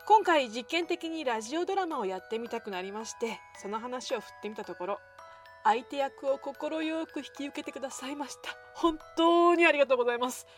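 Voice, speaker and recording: A woman hosting a podcast, chatting politely to listeners in an effusive, courteous and self-effacing way. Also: high voice. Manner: polite, effusively apologetic and thankful. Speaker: podcast host. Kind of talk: chatty show outro to listeners. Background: music.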